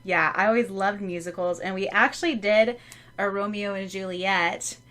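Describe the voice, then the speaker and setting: A woman with a bright, forward voice co-hosting a podcast from home. She tells animated stories, giggling often and dropping plenty of "like". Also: medium pitch, moderate pace. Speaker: podcast co-host. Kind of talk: casual chat.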